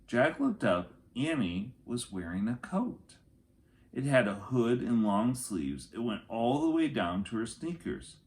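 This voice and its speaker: A man reading a children's chapter book aloud as an expressive storyteller, with deliberate, dramatic phrasing. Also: medium pitch.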